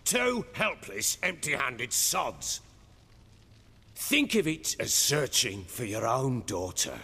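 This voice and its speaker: Husky, swaggering warlord baron, reverberant. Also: male voice, mid-range voice, big pitch swings, bright, forceful tone, heavily acted. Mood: brooding, resigned. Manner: blustering, swaggering, deliberate speechmaking. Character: gruff, domineering middle-aged warlord baron, proud father, crude. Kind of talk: fantasy video game dialogue acting.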